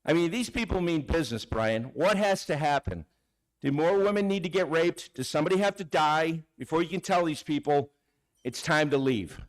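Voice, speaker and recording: A man's voice: on the high side, expressive, normal speed, poor audio. A man speaking as a public commenter at a town board hearing, confrontational and haranguing the board. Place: town hall meeting room.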